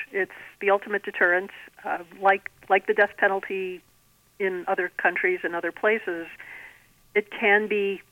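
A woman being interviewed as an author, explaining things conversationally in a measured way. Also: mid-range voice, dry recording. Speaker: guest author. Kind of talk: conversational interview about own writing. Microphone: telephone. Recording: thin, band-limited telephone line.

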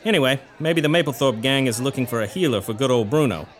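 Man playing a dry streetwise cop, medium pitch. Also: expressive, quick pace, lightly acted. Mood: dry, businesslike. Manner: matter-of-fact, dry. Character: dry, streetwise male lawman, war veteran. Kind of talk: 1930s-set pulp audio drama acting.

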